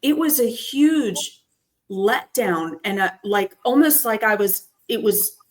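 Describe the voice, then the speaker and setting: Woman host, 30s, candid storyteller, lowers voice for emphasis. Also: animated. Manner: candid, storytelling, drops voice low and slow for emphasis. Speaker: podcast host. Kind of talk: casual personal chat.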